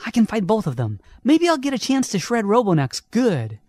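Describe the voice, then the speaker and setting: A woman's voice: fairly high pitch, wildly swooping pitch, brisk, a little mellow, no room sound. A woman voicing a cocky young male hedgehog hero who teases his friends. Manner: cocky, teasing.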